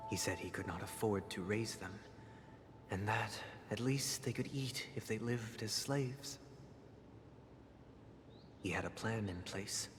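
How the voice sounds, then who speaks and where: A man playing a grave, polite, melancholy family head in a video game, speaking in measured, formal monologue with rhetorical pauses. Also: lowish voice, a little hiss or hum. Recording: long reverb, loud music behind. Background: loud game music.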